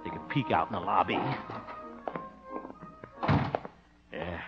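An actor playing a blustery, easily flustered American bachelor in a 1950s radio sitcom, with chuckles and muttered asides. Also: male voice, sits low, quick pace, loud music behind the voice. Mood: exasperated. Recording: muffled old radio recording.

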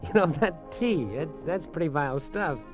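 A man's voice: on the high side, animated, normal speed, soft background music, close to an everyday voice. An actor playing a nervous, hesitant drinking man in an old radio drama. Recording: muffled vintage radio recording.

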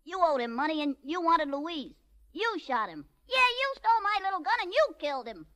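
An actor playing an anxious, defensive little-person showman with a small, high-pitched voice in a 1940s radio drama. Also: big pitch swings, moderate pace, bright, forceful tone, exaggerated voice. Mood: accusing, agitated.

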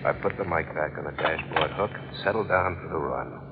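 A man's voice: rumbling low, brisk, noisy background. An actor playing a plain-spoken working-class cab driver and family man in a 1940s radio drama. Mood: obliging, businesslike. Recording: muffled old radio broadcast.

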